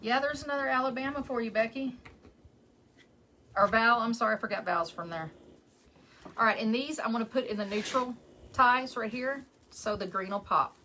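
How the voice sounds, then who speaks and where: A woman's voice: fairly high pitch, normal speed, pauses often, bright, forceful tone, a little hiss or hum, low-quality recording. Chatty West Texas woman crafting live. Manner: chatty, greets viewers by name between steps. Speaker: wreath maker hosting a live stream. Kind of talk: casual chat while crafting.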